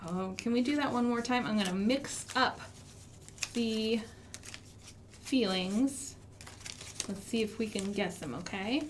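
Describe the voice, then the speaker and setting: An American woman hosting an interactive storytime for young children, asking questions and speaking in an expressive, encouraging way. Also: a little high, unhurried, long pauses, slight background noise. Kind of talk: interactive children's storytime with songs and finger rhymes. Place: live online stream for a library.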